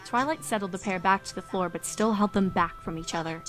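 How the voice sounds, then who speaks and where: A woman's voice: mid-range voice, a little fast. A woman reading scripted story narration as the narrator of a fan fiction audio drama. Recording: music behind the voice.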